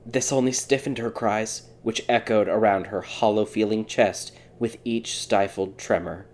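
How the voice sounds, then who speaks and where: A man's voice: on the low side, fairly even intonation, a little slow, darkish tone, slight background noise. A man reading a scripted fairy tale as a dramatic audiobook narrator.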